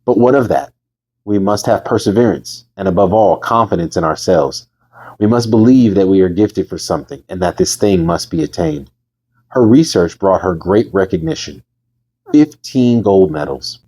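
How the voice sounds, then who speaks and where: A man reading a book aloud as host, casually and emphatically, with informal chatty asides and a husky voice. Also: deep voice, warm tone. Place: bedroom. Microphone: new microphone.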